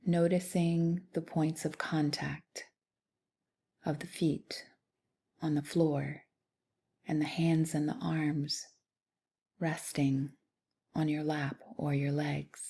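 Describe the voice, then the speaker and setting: A woman leading a guided meditation in a soft, soothing, unhurried way with long pauses. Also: deep voice, little pitch movement, husky. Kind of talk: guided body scan meditation.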